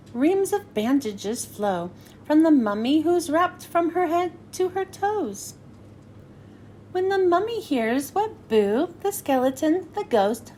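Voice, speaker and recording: A high-pitched woman narrates a children's picture book. Her delivery is slow and sing-song, swinging widely up and down as she follows the rhymes. There is some noise behind her. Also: a little mellow. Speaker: children's read-aloud host. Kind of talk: scripted storybook narration for children.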